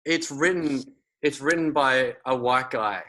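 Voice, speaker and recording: A man's voice: medium pitch, a little slow, sharp tone, low-quality recording. A man, hosting a casual conversation and rambling with many fillers and restarts.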